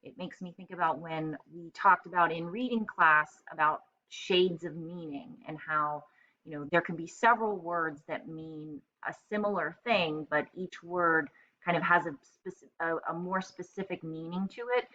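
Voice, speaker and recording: A woman reading aloud as a teacher, narrating a storybook and pausing to explain in simple terms. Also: on the low side, bright, forceful tone, highs cut off.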